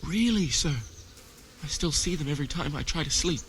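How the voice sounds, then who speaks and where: Frightened, highish-voiced servant. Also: male voice, lively intonation, a little fast, a bit bright, slight background noise. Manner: anxious, pleading, deferential. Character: frightened, deferential young servant man.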